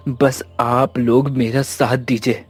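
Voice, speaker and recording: A man voicing a wronged, defiant young villager in an animated moral story, speaking in an earnest, declamatory way. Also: medium pitch, slow pace, lightly acted. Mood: rallying. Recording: background music under the voice.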